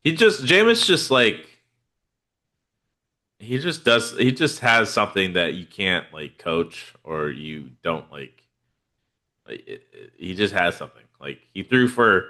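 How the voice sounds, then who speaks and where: A man's voice: sits low, big pitch swings, measured pace, pushed and bright. A man with a head cold, hosting a casual football podcast in a laid-back, rambling way with lots of fillers. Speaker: podcast host. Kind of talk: casual fantasy football chat.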